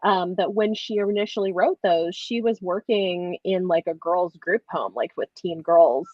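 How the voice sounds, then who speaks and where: A woman's voice: lowish voice, a little slow, a little mellow, no echo, lo-fi sound. A woman hosting a casual online book discussion, speaking in a reflective, informal way. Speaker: book discussion livestream host. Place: online group video call.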